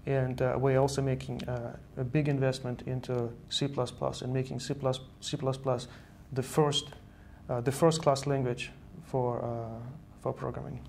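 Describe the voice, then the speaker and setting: A man with a gravelly edge to his voice explains things earnestly, often doubling back on his words. He speaks in a studio interview over a lapel mic, with faint hiss underneath. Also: mid-range voice, level tone, normal speed, a little mellow. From Estonia. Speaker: guest, software engineer. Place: virtual news-style studio set.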